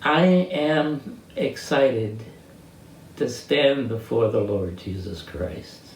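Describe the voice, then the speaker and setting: Slow elderly American man, roomy distant recording. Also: medium pitch, expressive. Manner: halting, reflective, searching for words. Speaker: interviewee sharing life stories. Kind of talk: reminiscing personal storytelling. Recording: roomy, distant mic with faint noise.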